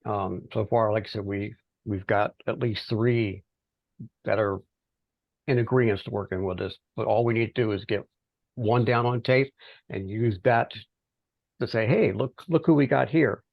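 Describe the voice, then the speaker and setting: A middle-aged man giving an informal progress report on a teleconference, speaking haltingly with pauses mid-phrase. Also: fairly low pitch, vocal fry, no echo. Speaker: amateur radio project volunteer. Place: remote teleconference call. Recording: dull, band-limited teleconference audio.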